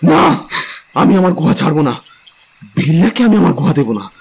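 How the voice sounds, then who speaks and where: A man playing a young caveman hunter in an audio drama, frightened yet defiant, speaking in agitated, breathless, halting phrases. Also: a little high, very animated, gravelly, darkish tone, breathy edge, exaggerated voice. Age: young adult. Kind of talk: dramatized audio story acting.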